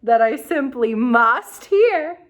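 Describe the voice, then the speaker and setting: A woman's voice: fairly high pitch, animated, takes it slowly. A woman voicing a sly, grumpy male storybook creature who gloats and talks with slick mock sweetness. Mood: smug anticipation. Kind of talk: children's storybook read-aloud.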